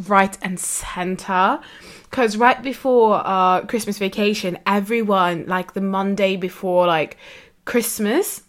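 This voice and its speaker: A woman's voice: mid-range voice, a bit bright. A young woman, a podcast co-host, chatting loosely with slang and filler words. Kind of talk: casual chat.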